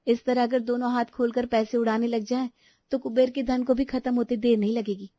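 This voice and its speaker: A woman's voice: fairly high pitch, level tone, fairly quick, warm tone, no room sound, close to an everyday voice. A woman voicing an outspoken younger daughter-in-law in a family story, complaining and pleading her case. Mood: aggrieved. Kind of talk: animated Hindi family story dialogue.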